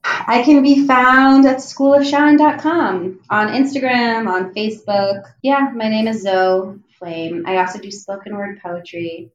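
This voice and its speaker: Casual American woman guest, chatty and quick to laugh, heard in a roomy, slightly dull recording. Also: fairly high pitch, steady tone, slight background noise. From New Jersey. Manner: chatty, many fillers, laughs easily. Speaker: podcast guest. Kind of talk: casual conversation.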